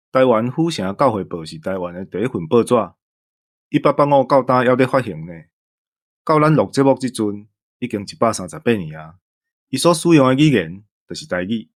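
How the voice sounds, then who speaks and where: A man's voice: lowish voice, lively intonation, brisk, no echo. Taiwanese male podcast host, dry sound. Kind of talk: explanatory podcast talk.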